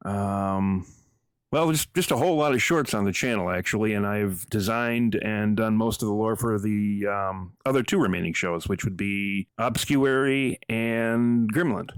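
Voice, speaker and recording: A man with a lowish voice, recorded dry, talking as a podcast guest. He is informal and self-effacing, rambling along in a conversational way. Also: a little slow, smooth, no echo. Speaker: podcast guest, audio drama writer. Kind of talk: casual conversational interview.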